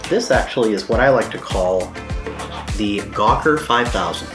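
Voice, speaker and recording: A man's voice: lowish voice, expressive, normal speed, music in the background. An American man giving a casual, hands-on DIY repair tutorial in a laid-back, playful, informal way. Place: indoors at home, windows open.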